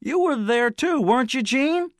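Man voicing a high-pitched, probing teenage boy accuser. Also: animated, moderate pace, no room sound, clean studio sound. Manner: pointed, probing, harsh questioning. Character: unseen teenage boy on a tribunal platform, insistent accuser.

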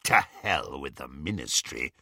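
A man voicing a menacing male werewolf who speaks slowly, quietly and with a rasp, often near a whisper. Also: extremely low pitch, expressive, dry recording, studio quality, pitched below the performer's own voice, heavily acted. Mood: snarling. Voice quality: rasping, growling. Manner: slow, quiet and breathy.

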